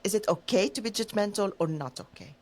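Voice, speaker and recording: A woman's voice: medium pitch, expressive, fairly quick, a little mellow. A woman co-hosting a podcast who speaks English as a non-native, talking in a thoughtful, rambling and hesitant way. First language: not Dutch, not English. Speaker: podcast co-host. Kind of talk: casual chat.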